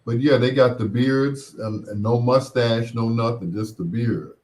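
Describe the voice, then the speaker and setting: Slow-paced male radio talk host. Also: mid-range voice, a little mellow. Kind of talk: casual call-in talk radio.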